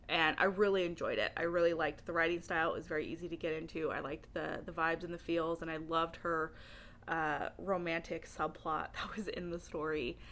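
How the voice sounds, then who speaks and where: Woman book vlogger, bright forward voice, animated casual chat, informal and emphatic, giggly delivery. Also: on the low side. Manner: giggly, laughs often while talking. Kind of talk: casual chatty video monologue.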